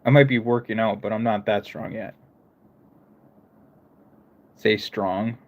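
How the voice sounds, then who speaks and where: A man's voice: lowish voice, steady tone, slow. A young man streaming live who talks with a mixed American accent, part northern and part southern, chatting loosely with viewers and often stopping to restart his sentences. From United States. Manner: rambling, stops and restarts, talks to chat. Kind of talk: casual live-stream chat.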